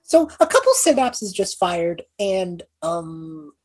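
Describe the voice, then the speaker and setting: A woman with a husky voice playing a tabletop role-playing game, narrating a character's reactions in an animated, casual way. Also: medium pitch, takes it slowly, soft background music. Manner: animated, describes own character's reactions in third person.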